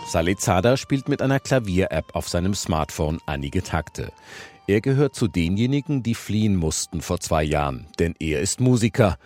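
A man's voice: fairly low pitch, a little mellow, dry recording, studio quality. A man reads a scripted radio news report as the reporter. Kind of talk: scripted news report narration with translated quotes read over.

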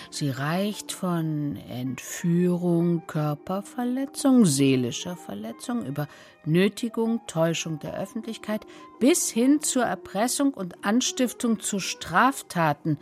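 An actress playing a lively old grandmother in a children's radio play, teasing, emphatic and affectionate. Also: fairly low pitch, a little slow, soft background music. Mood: amused.

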